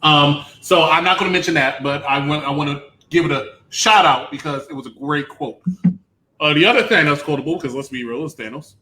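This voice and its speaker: A man's voice: on the high side, a little fast, bright, forceful tone, low-quality recording. A man on a film review panel giving his opinions in casual, bantering talk with friends.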